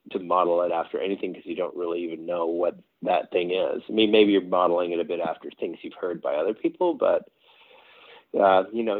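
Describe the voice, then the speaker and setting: A man's voice: sits low, no room sound. A man from Quebec, a songwriter, chatting casually in an interview, hesitant and rambling with many fillers. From Quebec, Canada. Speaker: songwriter guest. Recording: tinny phone-like line, dry.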